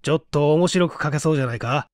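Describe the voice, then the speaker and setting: A man's voice: on the high side, quick pace, no room sound, clean studio sound, natural voice. A man voicing an eager, self-satisfied male writer who explains his odd story idea at rambling length. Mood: absorbed, smug.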